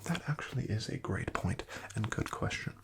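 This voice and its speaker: A man speaking in a breathy, often whispered ASMR style, playing a friendly, unhurried real estate agent. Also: fairly low pitch, expressive. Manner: friendly, unhurried, gently attentive salesman. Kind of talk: soft-spoken, whispered ASMR roleplay.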